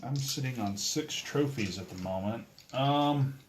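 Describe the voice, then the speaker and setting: A man's voice: medium pitch, measured pace, slight background noise. A man chatting casually through a tabletop game at a table, joking to viewers now and then. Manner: lively, jokey asides to viewers. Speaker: board game player and show regular. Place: room around a game table.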